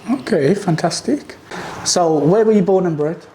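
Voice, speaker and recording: A man's voice: high-pitched, moderate pace, mellow, a little hiss or hum, poor audio. A man hosting a podcast interview, upbeat and often saying okay fantastic. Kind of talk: interview questions.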